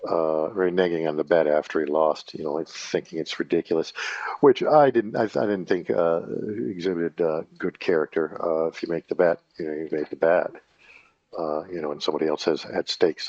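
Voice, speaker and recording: A man in his fifties with a very low voice talks in a loose, halting monologue, stopping often with fillers and correcting himself. The sound is dry and a bit muffled. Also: fairly even intonation, a little mellow, no room sound. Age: 50s. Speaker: channel host. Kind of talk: casual rambling monologue.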